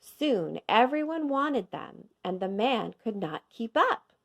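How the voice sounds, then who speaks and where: A woman narrates a picture book for young children in an expressive, teacherly way. Her pace is slow and her pitch moves freely, with a creaky edge to the voice. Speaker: kindergarten teacher reading aloud. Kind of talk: scripted children's storybook narration.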